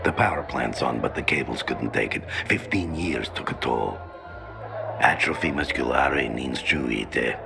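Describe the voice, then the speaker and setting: A man's voice: extremely low pitch, lively intonation, measured pace, vocal fry, full character voice. A male actor playing a cocky, menacing rival in a video game cutscene, with theatrical delivery. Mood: grave. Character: swaggering, menacing male rival mixing in foreign phrases. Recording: music and crowd behind.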